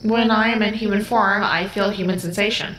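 A woman's voice: mid-range voice, fairly even intonation, a little fast, a bit bright, echoey room, a little hiss or hum, close to an everyday voice, rough recording. A woman playing an emotionless, curious alien-human hybrid in an audio drama, speaking formally, precisely and very literally. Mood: detached, literal.

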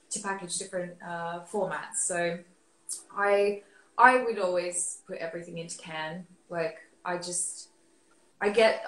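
A woman in her thirties from Australia, talking casually as a beer expert guest on a video call from home, full of fillers and restarts. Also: on the low side. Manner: chatty, many fillers and restarts. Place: living room at home. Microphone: laptop webcam on video call. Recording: roomy webcam audio.

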